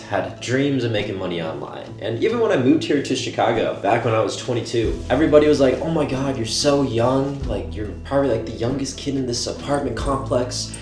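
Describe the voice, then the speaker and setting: A man in his twenties talking casually and candidly in a personal vlog, swearing freely as he reflects on his year. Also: mid-range voice. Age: 20s. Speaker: vlogger. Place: apartment. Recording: roomy sound with loud guitar music.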